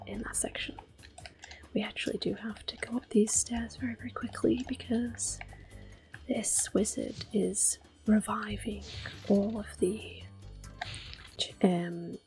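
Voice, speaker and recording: A woman giving gentle, soft-spoken ASMR gameplay commentary in a breathy, unhurried whisper. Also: mid-range voice. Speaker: gameplay host.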